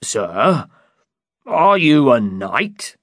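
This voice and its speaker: A man's voice: mid-range voice, sing-song, extremely slow, no room sound, clean studio sound, pitched above the performer's own voice, exaggerated voice. A man voicing a friendly, practical innkeeper in a storybook, hearty and obliging in manner. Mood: amused. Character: loud, friendly, practical male innkeeper humoring an eccentric. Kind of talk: dramatized storybook reading.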